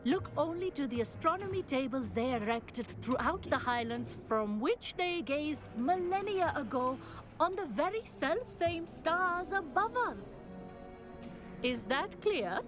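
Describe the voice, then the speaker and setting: An exacting female astronomy teacher in a fantasy game. She lectures formally and slowly, with a high, swooping voice and music playing behind her. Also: heavily acted. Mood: strict. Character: stern, exacting female astronomy professor at wizard school. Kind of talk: video game cutscene acting.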